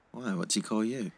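A man playing a sensible, gentle teenage schoolboy, hesitant and understated, in a naturalistic audio drama. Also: lowish voice, vocal fry, slight background noise, exaggerated voice. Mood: mild, curious.

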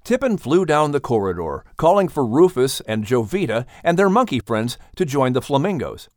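A man reading a children's audiobook as its narrator, in an animated storytelling style. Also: mid-range voice, moderate pace, no room sound, clean studio sound.

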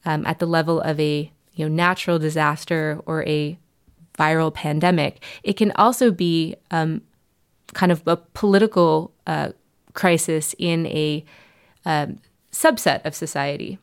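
Woman researcher, kinda low voice, lots of ums while she explains stuff. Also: fairly even intonation, a little slow, smooth. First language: not Danish. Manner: hesitant, many ums. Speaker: researcher guest.

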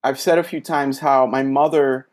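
A mid-pitched man speaking slowly and reflectively, often pausing with "you know" and "um". He is a guest in a casual conversation. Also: fairly even intonation. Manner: thoughtful, hesitant, many fillers. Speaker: guest, social worker.

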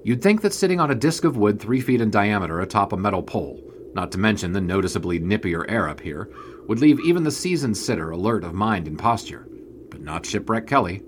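A 1920s sports reporter with a low voice and a dry, sardonic turn of phrase. He is wordy and wry, in the manner of an audio drama narrator. Ambient noise sits behind him. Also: big pitch swings, fairly quick. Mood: wry, observant. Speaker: narrator and lead character.

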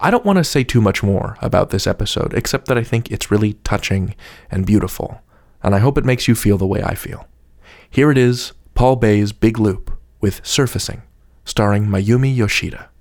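A man's voice: on the low side, normal speed, a little mellow, no echo. Earnest male podcast host, dry sound. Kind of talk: scripted podcast introduction.

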